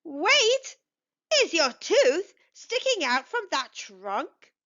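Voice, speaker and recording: A woman's voice: very high-pitched, big pitch swings, drawn-out words, fairly bright tone, dull audio, voice pushed up, heavily acted. A woman voicing a bossy, exasperated male beaver foreman in a children's storybook, emphatic and scolding.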